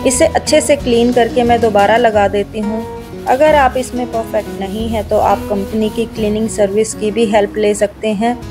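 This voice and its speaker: A woman with an Indian accent giving a calm, step-by-step voice-over for a home cleaning video. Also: on the high side, normal speed. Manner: explains each step in a steady how-to tone. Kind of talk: calm scripted voice-over narration. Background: background music.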